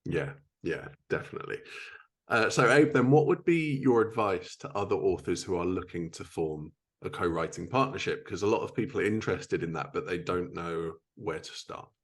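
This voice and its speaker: A man's voice: deep voice, normal speed. A man hosting a podcast, casually putting questions to his guests. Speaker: podcast host, interviewer.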